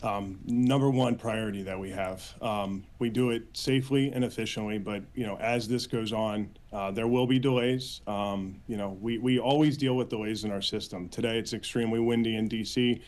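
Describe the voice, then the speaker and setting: A man with an American accent answering as a union spokesman in a news interview, earnest, with halting phrasing and repeated words. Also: lowish voice, fairly even intonation, darkish tone. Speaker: union official, interview guest.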